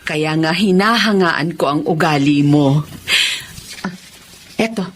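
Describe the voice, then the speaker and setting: A woman's voice: deep voice, takes it slowly. An actress playing a wealthy, childless lady devoted to her pet in a Filipino radio drama, emotive and emphatic, with a Filipino accent. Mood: grateful, tender.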